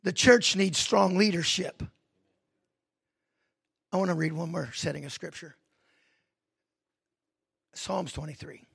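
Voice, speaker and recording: A middle-aged male pastor preaching a sermon, moving from quiet reflection to loud, emphatic points. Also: on the high side, slow pace, vocal fry, long pauses, husky, no echo, clean studio sound.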